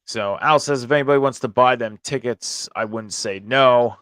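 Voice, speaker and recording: A man with a hoarse voice, strained from screaming at a concert, hosting a casual, informal review and commentary show about wrestling. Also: mid-range voice, normal speed, a bit bright, no echo.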